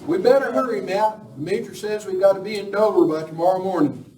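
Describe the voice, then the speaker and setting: A man acting an eager, bustling train fireman of the old West in an amateur radio play. The voice is high and distant, with room echo around it. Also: fast talker, lightly acted. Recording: roomy distant live recording with noise.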